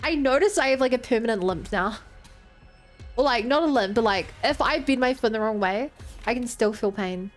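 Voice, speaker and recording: A woman's voice: fairly high pitch, animated, moderate pace, soft background music. A woman in her twenties streaming a game, rambling and joking as she talks to her chat. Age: 20s. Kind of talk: casual gameplay commentary.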